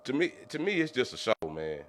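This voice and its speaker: A man's voice: mid-range voice, sing-song, brisk, a crowd in the background. An American man on a podcast panel, arguing his opinion in an insistent, colloquial way and repeating his points. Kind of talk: casual opinionated debate.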